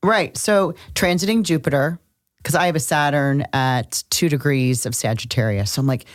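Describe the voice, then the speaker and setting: Woman, middle-aged, strikingly low register, conversational podcast co-host who thinks out loud, dry studio-like sound. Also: moderate pace, no room sound. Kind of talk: casual chat about astrology.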